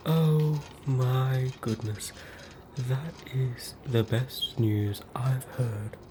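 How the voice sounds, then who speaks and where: A woman's voice: very deep voice, fairly even intonation, extremely slow, noisy background, full character voice. A woman with an Australian accent playing a fussy, polite ghost in a whispered ASMR roleplay, with quaint, hesitant wording. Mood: bargaining, wry. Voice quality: breathy whisper. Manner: quaint old-fashioned wording, hesitant, apologetic. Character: fussy, polite female ghost haunting a hospital bed. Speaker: ASMR performer.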